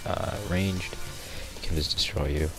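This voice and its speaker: Sick young guy, raspy and super deep, talking over a game with loud music behind. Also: fairly even intonation, takes it slowly. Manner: rambling, many ums and restarts. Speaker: gameplay commentator. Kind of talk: casual gameplay tutorial voice-over.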